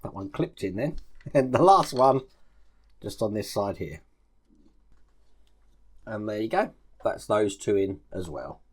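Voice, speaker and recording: A man's voice: on the low side, animated, takes it slowly, pauses often, slightly rough. A man chatting casually through a step-by-step build tutorial, explaining each move as he works. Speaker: host of a model-building channel.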